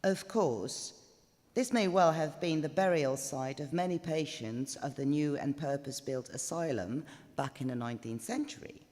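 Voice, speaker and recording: A woman's voice: low-pitched, normal speed, darkish tone, lightly acted. A woman voicing a pedantic, skinny moustached man who lectures and digresses pompously, in a live story reading. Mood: pompous, fussy. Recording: live in echoey stone chapel, long reverb.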